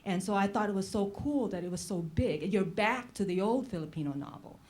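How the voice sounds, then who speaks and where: A woman's voice: medium pitch, a little fast, darkish tone. A woman moderating an informal literary conversation, digressive and emphatic, restating her phrases as she works toward a question. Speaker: panel moderator, writer.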